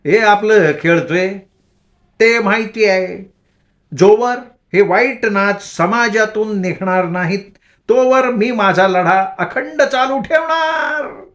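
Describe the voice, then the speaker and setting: A man voicing an eccentric middle-aged village woman who lectures boys on gambling in a slow, sing-song, theatrical way. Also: sits high, a bit bright, voice pushed up. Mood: preachy, cackling.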